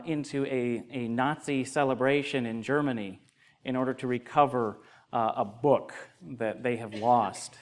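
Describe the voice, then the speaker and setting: A young adult man, an associate pastor delivering a sermon and prayer in an earnest, measured way that grows more emphatic. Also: mid-range voice, clean tone.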